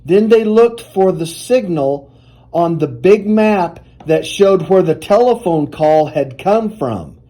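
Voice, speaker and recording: A man reading a children's picture book aloud in an animated, deliberate storytelling manner. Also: high voice.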